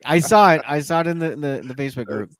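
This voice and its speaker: A man's voice: a little high, rapid-fire, a bit bright, no echo. Male podcast host, conversational and informal, dry close-up recording. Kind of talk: casual podcast chat.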